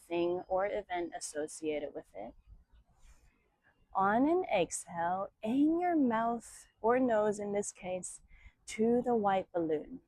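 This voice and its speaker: A woman teaching yoga, guiding meditation and breathing in a soothing, measured way with long pauses between short phrases. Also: mid-range voice, darkish tone, no echo, poor audio. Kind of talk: guided meditation and breathing instruction.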